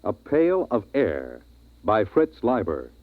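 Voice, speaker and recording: An American man announcing a vintage radio drama in a grand, theatrical, deliberate style. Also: fairly low pitch, huge pitch swings, phone-quality sound. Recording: vintage band-limited radio recording, loud music.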